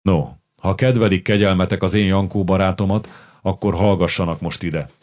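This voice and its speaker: Man doing a cunning chancellor, low, calm, talking folks around. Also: natural voice. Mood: persuasive. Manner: measured and persuasive.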